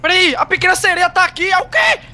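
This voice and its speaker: A young man joining a group game, teasing and shouting excitedly. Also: very high voice, fast, over a noisy crowd. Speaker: co-player. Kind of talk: gaming banter.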